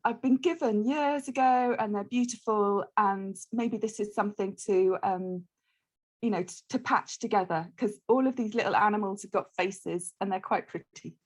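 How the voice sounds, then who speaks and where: A woman's voice: mid-range voice, moderate pace. A woman from the United Kingdom chatting on a video call, hesitant and apologetic, with many ums. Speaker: quilt-along participant. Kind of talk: casual chat on a video call.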